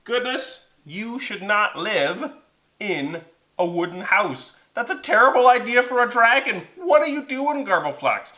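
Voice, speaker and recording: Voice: medium pitch, expressive, takes it slowly, sharp tone, pitched above the performer's own voice. A storyteller voicing an earnest, kindly young hero of a children's tale, exclaiming and reasoning with others. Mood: incredulous scolding. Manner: exclamatory, scolding yet forgiving. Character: Liam, earnest kindly young hero who helps forest animals.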